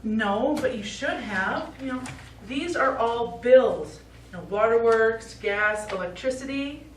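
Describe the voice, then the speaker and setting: A woman's voice: on the high side, slow. A middle-aged woman playing a practical, concerned daughter in a live stage play, coaxing with mild exasperation. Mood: brisk, checking in. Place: small indoor theatre stage, recorded from afar. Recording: echoey theatre, distant mic, faint noise.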